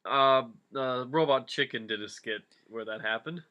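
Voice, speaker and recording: A man's voice: medium pitch, moderate pace, pushed and bright, smooth. A man co-hosting a reading, chatting casually and throwing in offhand reactions and commentary. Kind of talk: casual riffing chat.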